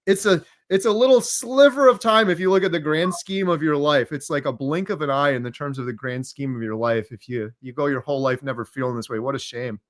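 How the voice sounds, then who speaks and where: Animated higher-pitched man in conversation. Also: brisk, few pauses. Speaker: interview guest. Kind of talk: casual interview chat.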